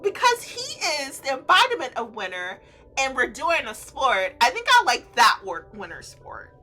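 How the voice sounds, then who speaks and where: Very high, bright, giddy woman streamer. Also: wildly swooping pitch, moderate pace, soft background music, low-quality recording. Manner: giddy, giggly, gushing reactions breaking into the reading. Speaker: streamer playing and reading a visual novel aloud. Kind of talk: animated let's-play reading and commentary.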